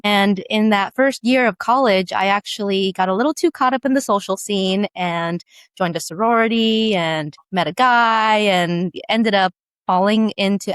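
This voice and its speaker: A woman in her thirties, raised in San Diego, shares her life story as a podcast guest. She talks in a lively, chatty way and laughs easily. Her voice sits at a middle pitch, and the sound is close and dry. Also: clean tone, no room sound. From Vietnam, raised in San Diego. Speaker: guest, beauty brand founder. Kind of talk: casual storytelling interview.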